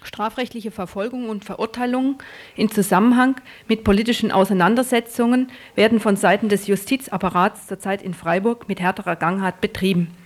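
A woman narrating a scripted news report as a radio reporter. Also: medium pitch.

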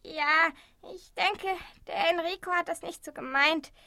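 A woman playing a kind, naive, lonely old grandmother in a German radio play, speaking gently and full of endearments. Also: very high voice, unhurried, dry recording, full character voice. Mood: fond.

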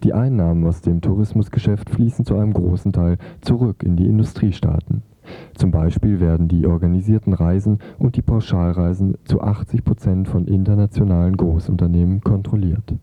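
A man's voice: low voice, steady tone, brisk, dark tone, no room sound. A man narrating a scripted report as a radio reporter. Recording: dull, lo-fi radio recording.

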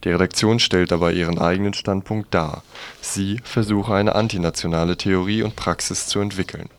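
A man's voice: low voice, steady tone, normal speed, no room sound. A man presenting on radio from a script.

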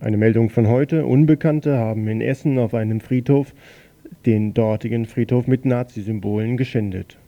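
A man reads a radio news bulletin with a rough, gravelly voice. His tone is very dark and mellow. He delivers it plainly, stumbling and correcting himself now and then. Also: medium pitch, steady tone, highs cut off, soft background music. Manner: plain, slightly halting reading with self-corrections. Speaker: news reader on a local radio station.

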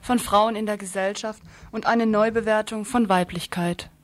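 A woman's voice: medium pitch, fairly even intonation, moderate pace. A woman presenting a scripted radio news report in an earnest manner, now and then stumbling over a word and starting again.

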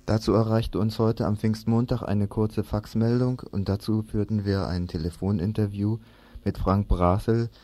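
Dark-voiced man presenting radio news. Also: fairly low pitch, steady tone, normal speed, no room sound.

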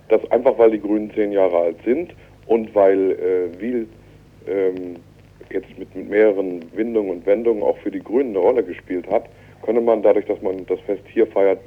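A man giving halting, spontaneous interview answers over a telephone, repeating words as he goes. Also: on the low side. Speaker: interviewee, Green town councillor. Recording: tinny, narrow telephone line.

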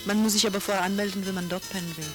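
A woman's voice: medium pitch, very fast. Chatty woman on pirate radio. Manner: relaxed, chatty, with fillers and self-corrections. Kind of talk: casual radio announcements. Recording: old radio broadcast with music underneath.

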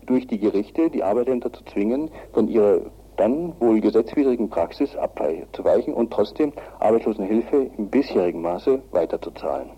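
Husky, very dark-voiced man giving advice. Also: fairly low pitch, animated, poor audio. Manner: halting, repeats and rephrases. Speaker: lawyer giving advice in a radio interview. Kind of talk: spontaneous spoken legal advice.

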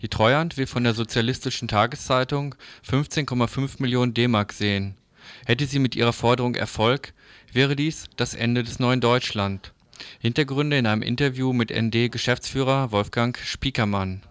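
Man, radio news presenter, smooth clean voice, scripted narration, formal delivery, very dry studio sound. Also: medium pitch, moderate pace, a bit bright, no echo.

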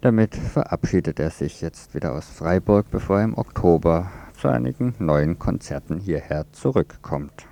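A man's voice: deep voice, expressive, normal speed, creaky, hardly any pauses, dark tone. A man reading scripted reports and news as a radio presenter.

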